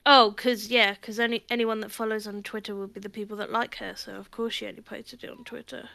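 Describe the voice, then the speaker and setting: A woman's voice: mid-range voice, flat delivery, a little fast, creaky, sharp tone, no echo, clean studio sound. A woman co-hosting a podcast, chatting frankly with a giggly manner. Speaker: podcast co-host. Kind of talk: casual podcast chat.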